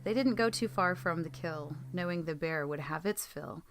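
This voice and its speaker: A woman's voice: fairly low pitch, moderate pace, soft background music. A woman reading creepy first-person stories aloud as a narrator, in scripted storytelling style. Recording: clearly noisy background.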